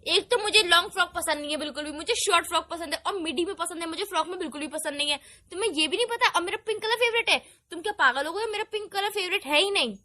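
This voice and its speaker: A woman acts out a young girlfriend on a phone call. Her voice is high and sharp and comes in quick bursts. She is bossy and wheedling, nagging and teasing until she gets an answer. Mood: nagging, testing. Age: young adult. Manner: insistent, teasing, nagging, repeats herself.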